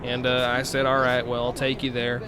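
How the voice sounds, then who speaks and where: A man playing a folksy trucker in improvised CB roleplay, rambling and halting. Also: mid-range voice, completely flat delivery, noisy background, close to an everyday voice. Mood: folksy, animated. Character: folksy male trucker telling tall road tales.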